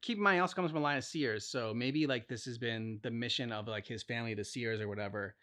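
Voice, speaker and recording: A man chatting casually as co-host of a reaction channel, thinking out loud in a rambling way peppered with "like". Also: medium pitch, fast talker. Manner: rambling, speculative, heavy use of "like". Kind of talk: casual chat, reacting to game cutscenes.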